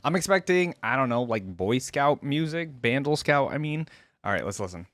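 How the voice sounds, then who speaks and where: A man's voice: mid-range voice, sing-song, moderate pace. A man giving enthusiastic, informal reaction commentary as the host of a fan channel.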